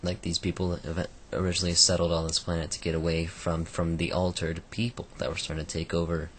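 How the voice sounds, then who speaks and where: Male book reviewer, very deep and croaky, casual and rambling, faint hiss behind. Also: level tone, fairly quick. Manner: rambling, many fillers and restarts.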